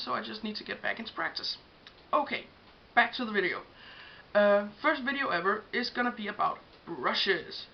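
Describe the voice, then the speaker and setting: Danish-accented young woman, chatty makeup vlog. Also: mid-range voice. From Denmark. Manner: chatty, hesitant, many ums while showing products. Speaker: makeup vlogger. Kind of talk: casual beauty tutorial. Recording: dull lo-fi home recording with faint hiss.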